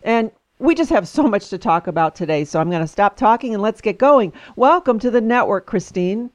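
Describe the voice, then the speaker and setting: A woman's voice: medium pitch, lively intonation, fairly quick, no echo. A woman hosting an interview, talking enthusiastically and laughing often as she introduces and questions her guest. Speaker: podcast host. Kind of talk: interview conversation.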